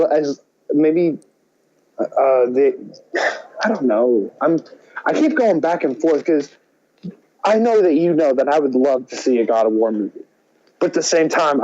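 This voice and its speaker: A man co-hosting a podcast in casual chat, halting and circling around his point as he talks. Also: mid-range voice, dark tone, highs cut off. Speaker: podcast co-host.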